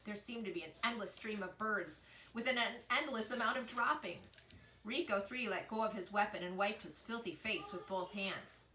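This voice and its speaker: A woman reading a children's book aloud in an expressive storytelling style. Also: mid-range voice, moderate pace. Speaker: reader, storyteller. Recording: echoey room with faint noise.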